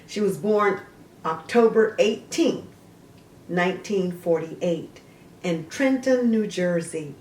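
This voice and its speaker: Woman, slow measured phrasing, dramatic vlog monologue, roomy echo, faint background noise. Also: fairly low pitch, darkish tone, far from the mic. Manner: emphatic, deliberate, broken into short measured phrases. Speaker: host of a literary video show. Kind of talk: dramatic, theatrical vlog monologue, partly reading aloud.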